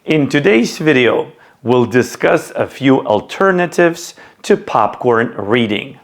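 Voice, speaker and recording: A man giving scripted educational narration as a teacher, in a measured, deliberate way. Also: mid-range voice, lively intonation, roomy sound, a little hiss or hum. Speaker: teacher presenting an educational video.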